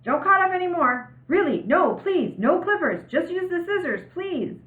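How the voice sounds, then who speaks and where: A woman voicing a panicky young boy in a story, pleading loudly and insistently. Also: very high voice, very animated, normal speed, narrow, tinny audio, pitched above the performer's own voice. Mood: urgent pleading. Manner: urgent, loud, insistent pleading. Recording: roomy, band-limited home recording.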